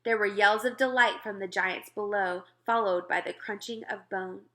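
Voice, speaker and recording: A woman reading a children's book aloud as scripted narration, expressive and clearly phrased. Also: mid-range voice, moderate pace. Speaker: storybook reader.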